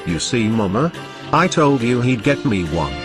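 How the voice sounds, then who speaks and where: A man puts on an earnest little boy for a radio play. The childish, eager voice jumps up and down in pitch, with clear music behind it. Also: sing-song, normal speed, close to an everyday voice. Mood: eager, pleading. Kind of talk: old-time radio drama acting.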